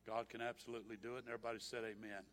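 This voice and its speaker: A man in a church introducing a guest preacher, rambling and hesitant before building to a loud welcome. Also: mid-range voice, little pitch movement, rapid-fire. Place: church sanctuary.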